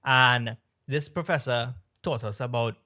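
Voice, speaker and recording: Male podcast co-host from Trinidad, Trinidadian-tinged American accent, mid-pitched, rambling and animated storyteller. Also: measured pace. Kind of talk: casual storytelling chat.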